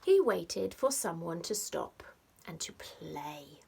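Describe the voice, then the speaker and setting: Breathy woman reading a storybook, very animated. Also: fairly low pitch. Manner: expressive, dramatic pauses, stresses rhymes and sound words; simple explanatory asides addressed to young children. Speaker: teacher reading a picture book to children. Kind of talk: animated storybook read-aloud.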